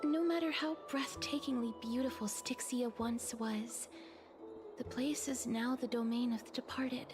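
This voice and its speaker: A woman playing a solemn, tender young fantasy woman in a video game, speaking softly, breathily and formally. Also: fairly high pitch, steady tone, a little slow, music in the background, natural voice. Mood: wistful, solemn. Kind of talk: scripted game voice acting.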